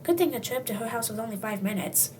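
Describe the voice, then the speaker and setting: Casting: high-voiced reader for comic story narration, highly animated, tongue-in-cheek, slightly distant homemade sound. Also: fast talker. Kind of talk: comic read-aloud narration in second person. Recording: distant mic, faint background noise.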